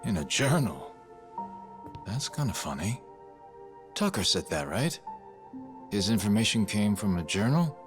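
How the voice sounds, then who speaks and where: An actor playing a gruff pirate-crew tough in an English game dub, measured and terse in delivery. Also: male voice, lowish voice, very animated, faint music behind. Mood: calm, sober. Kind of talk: English-dubbed video game cutscene acting.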